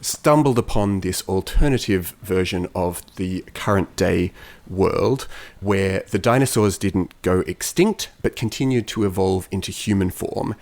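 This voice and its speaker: A man with a fairly low-pitched voice talks as a podcast co-host. He explains things conversationally, stringing long thoughts together as he discusses film history. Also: unhurried, few pauses, a little mellow.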